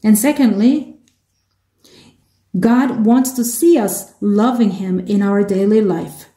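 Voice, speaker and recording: Woman, Korean accent, sermon interpreter, halting restarts, mellow tone, reverberant church hall. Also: medium pitch. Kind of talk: live English interpretation of a sermon.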